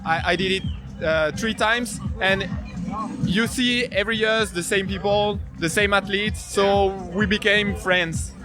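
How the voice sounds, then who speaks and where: Guy, high voice, halting english, searching for words, music in the background. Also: fairly even intonation. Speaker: race athlete. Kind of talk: casual interview answers in non-native English. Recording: music playing behind.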